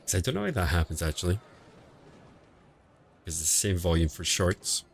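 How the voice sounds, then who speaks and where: A man streaming casual commentary on a mobile game match, quiet and relaxed, answering chat between calls of the play. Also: moderate pace, vocal fry. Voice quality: quiet, low-level voice. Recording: home stream audio with faint music behind. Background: faint background music.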